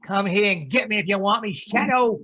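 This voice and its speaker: An actor playing a desperate, defiant killer in old-time radio drama style, frantic and taunting. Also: male voice, high-pitched, fairly quick, bright, forceful tone. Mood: defiant, panicked. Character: desperate, defiant male killer threatening with a grenade.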